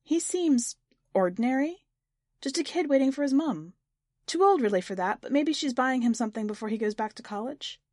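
Female reader as young woman, storybook character, tentative, earnest, improvising, lifted pitch, dry recording. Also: expressive, a little fast, a little mellow, dry recording. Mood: puzzled, tentative. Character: hesitant, inventive young woman answering an eccentric mentor.

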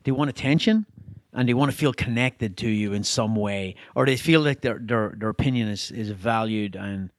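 A man from Ireland whose Irish accent has faded toward American, talking in a relaxed, casual chat with quick asides and some swearing. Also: on the low side, no room sound. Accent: faint Irish, mostly American-sounding.

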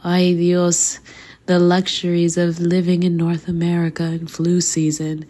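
A woman in her thirties, hoarse from a flu, hosting a faith podcast in an earnest, casual way, laughing easily and dropping to a soft near-whisper. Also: lowish voice, completely flat delivery, measured pace. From Canada. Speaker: podcast host.

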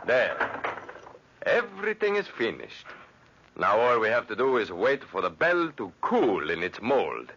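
An actor playing an arrogant, tyrannical Italian master architect with an imperious, explosive manner in 1940s radio drama style. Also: male voice, mid-range voice, huge pitch swings, a little slow, fairly bright tone, full character voice. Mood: proud, impatient. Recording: noisy, muffled 1940s radio recording.

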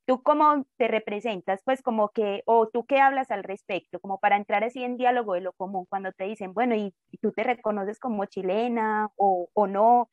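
A woman's voice: medium pitch, moderate pace, few pauses, dry recording, dull audio. A woman interviewer asking long, rambling questions full of fillers. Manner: rambling, filler-heavy "como que".

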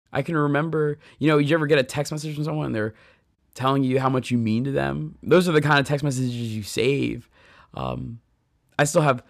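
Earnest man in his thirties, gravelly voice. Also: medium pitch, no echo, clean studio sound. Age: 30s. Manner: earnest, conversational, with personal stories; addresses listeners directly. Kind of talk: devotional podcast talk on scripture.

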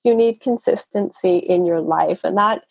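A woman's voice: lowish voice, moderate pace, creaky, darkish tone, no room sound. A woman, guest on a podcast interview over a video call, talking conversationally with fillers and restarts. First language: English. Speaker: guest, nutrition consultant.

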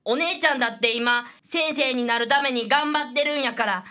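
Lady doing stubborn earnest little brother, schoolkid, high voice, radio drama. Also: brisk, pushed and bright. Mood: determined, pleading. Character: determined little schoolboy, devoted younger brother.

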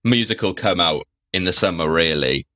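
A man's voice: sits low, lively intonation, moderate pace, bright, forceful tone, no room sound. A young man co-hosting a podcast, chatting casually and rambling with lots of fillers. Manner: rambling, filler-heavy, opinionated. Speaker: podcast co-host. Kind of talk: casual chat. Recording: dull, dry sound.

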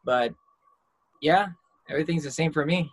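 A man from Hong Kong, a singer guest, talking softly and modestly, often trailing off quietly. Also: a little high, moderate pace. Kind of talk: casual interview.

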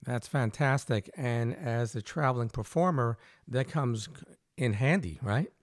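A man hosts an interview and puts his questions in an upbeat, encouraging tone. His voice sits at a middle pitch and sounds close and dry, with no room around it. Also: unhurried, no room sound. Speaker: podcast host.

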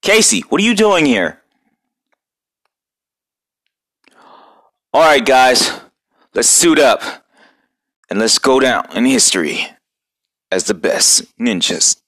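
A man voicing an earnest young male turtle ninja leader who talks low and hushed. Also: sing-song, a little slow, long pauses. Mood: commanding. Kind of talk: fan voice acting of a cartoon.

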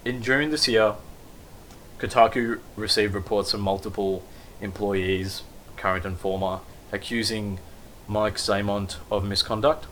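A man with a lowish voice talks through a news recap in a loose, rambling way, leaning on fillers. A faint hum of background noise sits under him. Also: steady tone, measured pace, fairly bright tone, rough recording. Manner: rambling, many fillers like basically and kind of. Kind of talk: casual news commentary.

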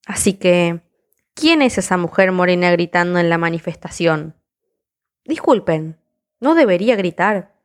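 A woman's voice: fairly low pitch, lively intonation, a little slow. A woman reciting spoken word poetry in a defiant, impassioned, rhythmic delivery.

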